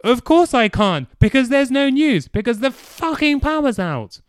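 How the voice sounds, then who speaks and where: A man voicing an exasperated man who shouts in bitter frustration, his voice strained with a tremor. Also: medium pitch, steady tone, fast talker, clean tone, dry recording, clean studio sound, lightly acted. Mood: furious.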